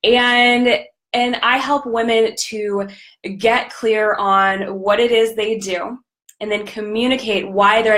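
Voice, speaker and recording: A woman with a slightly raspy voice from a recent cold, hosting a live coaching stream in an upbeat, chatty way. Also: on the high side, a bit bright. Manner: upbeat, chatty, greets viewers by name. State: recovering from a cold. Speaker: podcast host and business coach.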